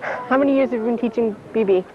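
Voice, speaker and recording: A woman's voice: on the high side, fast talker, a crowd in the background. A young female interviewer for a camp newscast, asking questions hesitantly, with restarts. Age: teens. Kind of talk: amateur camp news interview. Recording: muffled camcorder tape with hiss.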